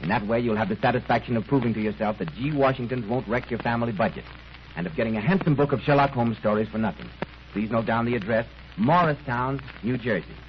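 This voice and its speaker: Fast-talking 1930s radio announcer man, muffled recording. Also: medium pitch, a little hiss or hum. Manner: polished, courteous, salesmanlike. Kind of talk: 1930s radio announcing, scripted sponsor chat.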